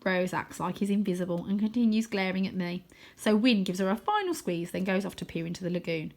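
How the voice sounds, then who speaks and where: A woman reading a children's story aloud as expressive scripted narration. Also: medium pitch, brisk. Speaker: storybook reader.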